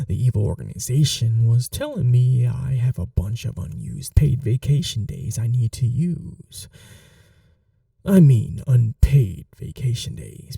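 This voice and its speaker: A man playing an eager, awkward young supervillain in an ASMR roleplay, rambling to the listener with sheepish boasts and breathy asides. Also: mid-range voice, warm tone, no echo, clean studio sound, far from the mic, full character voice. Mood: friendly, sheepish. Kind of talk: ASMR roleplay audio drama, addressing the listener.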